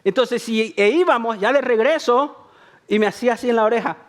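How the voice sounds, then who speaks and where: A man's voice: very high-pitched, a little fast. A man from El Salvador preaching a sermon, talking to the congregation in a conversational way, repeating phrases for emphasis and joking about himself. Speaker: pastor.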